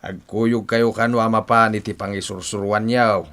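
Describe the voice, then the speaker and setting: A man's voice: lowish voice, flat delivery, normal speed, a bit bright, close to an everyday voice. An actor playing a nosy, folksy middle-aged father in a Filipino radio drama, with an Ilocano accent. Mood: genial. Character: nosy, prodding father pushing a young man to court. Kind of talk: Philippine radio drama acting.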